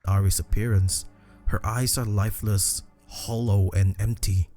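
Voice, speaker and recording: A man's voice: lowish voice, steady tone, measured pace, mellow, faint music behind. A man reading scripted narration that retells a horror game story for a video essay.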